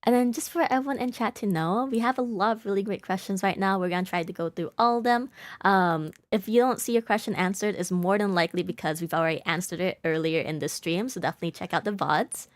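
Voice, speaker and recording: A woman's voice: medium pitch, fast talker, dry recording. A woman co-hosting a livestream, reading viewer questions and announcements in an upbeat way. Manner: upbeat, laughs often, reads chat questions. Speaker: stream co-host.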